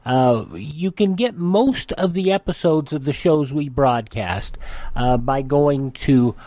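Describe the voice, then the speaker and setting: A man's voice: fairly high pitch, animated, slow, dark tone, no room sound. A man hosting a radio show in a conversational way. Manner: hesitant, stumbling when unscripted.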